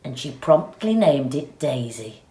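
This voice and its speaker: A woman reading a children's storybook aloud with expressive, deliberate delivery. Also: extremely low pitch, slight background noise. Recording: roomy, echoey sound.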